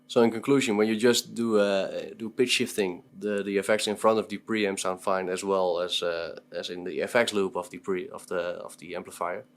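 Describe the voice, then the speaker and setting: A man giving a casual gear demo as a guitar effects demonstrator, speaking informally and haltingly as he thinks aloud. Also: deep voice, fairly even intonation. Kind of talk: casual product demo commentary.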